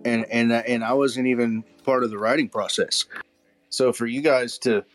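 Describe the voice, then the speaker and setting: A man's voice: medium pitch, fairly even intonation, normal speed. Male podcast guest, mid-range voice, informal chat, music in background. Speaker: guest voice actor. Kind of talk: casual chat.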